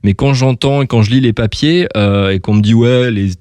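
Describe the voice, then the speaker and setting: A man interviewing on radio in an informal, halting, chatty way. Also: medium pitch, a little mellow, smooth, no room sound, studio quality. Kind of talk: casual interview chat.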